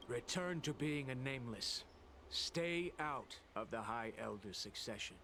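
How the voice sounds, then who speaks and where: A man playing a stern, formal messenger in a video game, speaking in a measured, grave way. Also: medium pitch, lively intonation, sharp tone, slight background noise. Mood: stern warning. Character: male Vidyadhara of an alchemy commission, stern messenger giving warnings. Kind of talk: video game dialogue acting.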